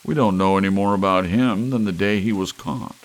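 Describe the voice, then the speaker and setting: A rattled lawyer speaks in a low, gravelly voice, pressing his point with anxious urgency, as a man reads his lines. The delivery is part of a slow, soft audiobook reading. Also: close to an everyday voice, low-quality recording. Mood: uneasy. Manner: anxious, pleading. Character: nervous, shaken male lawyer, adult.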